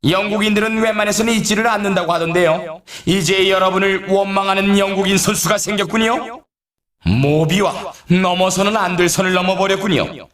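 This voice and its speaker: A man playing an excitable, teasing snowboard race announcer in a video game, delivering dramatic mock warnings as scripted commentary. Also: high voice, a little fast, reverberant, distant mic.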